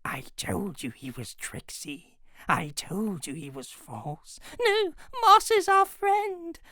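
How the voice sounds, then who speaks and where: A wretched little fantasy creature, whines and hisses in a strained, piercing voice. It is slow and scheming, lurching between pleading and spite. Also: male voice, sits high, very animated, sharp tone, no room sound, studio quality, pitched above the performer's own voice, exaggerated voice. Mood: sly, bitter. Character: wretched, scheming, two-sided cave creature, whining and hissing.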